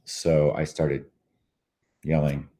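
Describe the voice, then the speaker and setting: A man hosting a short morning show, talking casually while hoarse and tired, clearing his throat often and pausing on fillers. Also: rumbling low, little pitch movement, warm tone. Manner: frequent throat clearing, halting with many fillers.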